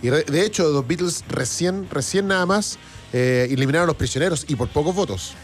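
A Chilean man hosts a live music show with a slightly high voice. He talks casually and repeats phrases for emphasis, with music playing faintly behind him. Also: fairly quick. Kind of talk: casual live broadcast chat, reading out comments.

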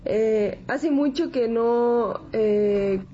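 A woman's voice: on the high side, extremely slow, mellow. A woman in her twenties with a Mexican accent, explaining herself conversationally as an interview guest. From San Antonio, Texas, United States. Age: 20s. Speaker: interview guest, accordion musician. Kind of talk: casual interview chat. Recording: dull audio with background ambient noise.

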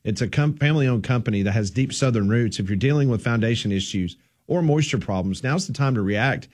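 A man's voice: medium pitch, fairly quick, a little mellow. A man hosting a sports talk radio show, easygoing and folksy, who also reads the sponsor ads himself. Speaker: radio host.

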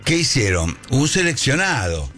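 A man's voice: mid-range voice, very animated, normal speed, bright, forceful tone, soft background music. A man with a Rioplatense accent hosting a casual sports talk show, joking and telling stories. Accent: Rioplatense Spanish. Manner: joking storyteller, acts out voices. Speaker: radio host.

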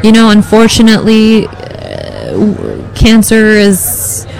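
Sincere woman, mid-pitched, interview guest. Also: huge pitch swings, slow, creaky, a little mellow, low-quality recording. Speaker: interview guest, cancer center operator. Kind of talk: earnest interview talk.

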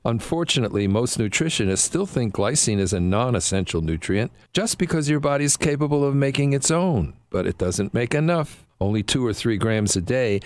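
Older man reading a dry ad. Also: no echo. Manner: earnest, explanatory pitch. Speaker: doctor in an ad. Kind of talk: advertisement read.

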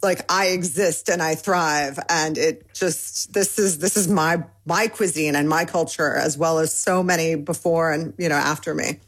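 Husky-voiced woman rambling through stories. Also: low voice, steady tone, unhurried, few pauses. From Michigan, United States. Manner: rambling, many fillers and restarts. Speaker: cookbook author. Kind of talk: casual interview conversation.

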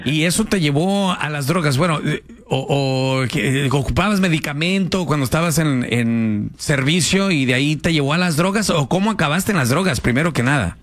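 A man's voice: on the high side, lively intonation, normal speed. A man hosting a radio talk show, speaking casually to a caller and using slang. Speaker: radio host.

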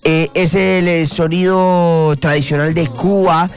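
A man's voice: on the high side, flat delivery, slow. A man chatting casually about sports and music as a talk show panelist. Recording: music playing under the voice.

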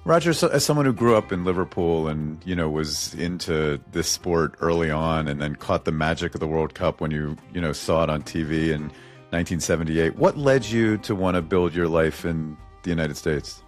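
A man with a very low voice who interviews a guest. He reads a scripted introduction and then asks measured, somewhat halting questions, with faint music behind him.

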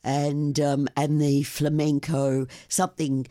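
An older woman, a guest on a radio interview, telling stories about her travels and her blog with warm enthusiasm. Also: sits low, takes it slowly, no room sound, studio quality. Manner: enthusiastic, animated storyteller. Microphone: studio microphone.

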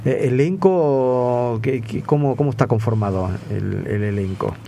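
A man's voice: mid-range voice, measured pace, creaky, dark tone, a little hiss or hum. A man hosting a casual interview, talking in a rambling way with many hesitations and fillers. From not from Argentina. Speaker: radio host interviewing guests.

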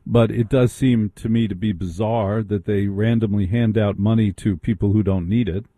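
Chatty talk radio host, dry studio. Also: male voice, on the low side, fairly even intonation, mellow, no echo. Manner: quick, chatty, interrupting.

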